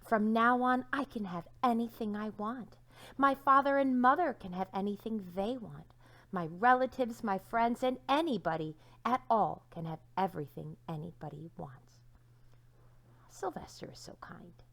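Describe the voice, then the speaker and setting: Woman reading as an excitable young boy donkey. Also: medium pitch, normal speed, lightly acted. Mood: excited.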